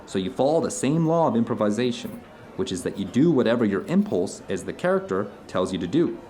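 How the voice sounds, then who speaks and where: A man's voice: medium pitch, very animated, a little fast, mellow, natural voice. A man playing an earnest theater director telling stories to an old friend in a conversational audio drama. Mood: earnest explaining. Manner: storytelling, earnest, discursive. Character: animated theater director recounting his travels to an old friend.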